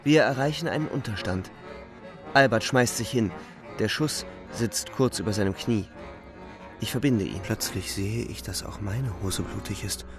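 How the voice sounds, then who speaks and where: A male actor as a young wounded soldier narrating a radio play in terse, urgent sentences. His voice sits in the middle register with a defiant edge, and music plays clearly underneath. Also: mid-range voice, natural voice. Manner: terse, urgent present-tense telling.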